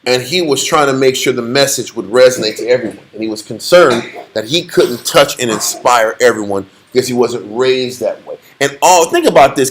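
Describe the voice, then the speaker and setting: A man leading a casual Bible study in a blunt, joking, slangy way. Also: medium pitch.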